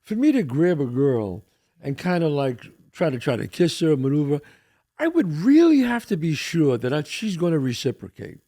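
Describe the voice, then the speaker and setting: A man's voice: fairly high pitch, sing-song, moderate pace, mellow. An older man hosting a casual talk show, blunt and emphatic, swearing freely. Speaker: podcast host. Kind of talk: casual chat.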